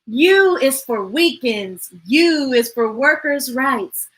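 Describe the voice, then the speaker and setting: Animated, bright-voiced woman reading to children. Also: sits high, takes it slowly. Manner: expressive, playful, emphatic reading. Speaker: storytime host reading children's books aloud. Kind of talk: animated read-aloud for children with chatty asides on a livestream.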